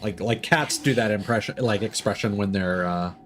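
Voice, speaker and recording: A man's voice: lowish voice, normal speed. A man giving casual live gameplay commentary as a streamer, thinking aloud as he plays. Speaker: game streamer.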